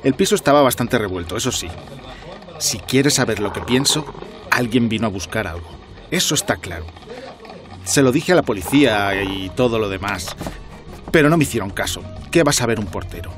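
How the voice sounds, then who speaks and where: A man's voice: lowish voice, expressive, fairly quick, over music, natural voice. An actor playing a chatty, observant, slightly self-important doorman in a radio drama, talking colloquially with a repeated verbal tic. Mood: insistent, confiding. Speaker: building doorman.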